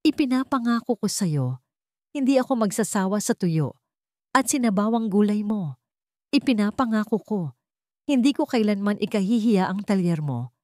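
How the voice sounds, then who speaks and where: A woman's voice: mid-range voice, lively intonation, normal speed, darkish tone, no echo, clean studio sound, voice pushed up, lightly acted. A woman voicing a wealthy, once-cold woman in a Filipino drama story, speaking with trembling emotion. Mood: moved. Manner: emotional, shaky with feeling. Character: wealthy woman, former ice queen, warm.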